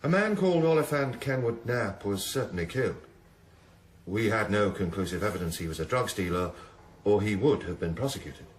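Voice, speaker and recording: Male actor as low-voiced, guarded police detective. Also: animated, a little fast, roomy sound, slight background noise, natural voice. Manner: curt, stubborn, official. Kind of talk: radio drama acting.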